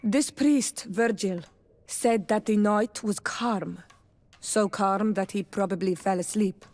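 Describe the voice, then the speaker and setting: A dry, doubting female adventuring companion played by a woman in a video game. She speaks at a medium pitch in a wry, deliberate manner. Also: darkish tone, lightly acted. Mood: sceptical. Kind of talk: video game dialogue acting.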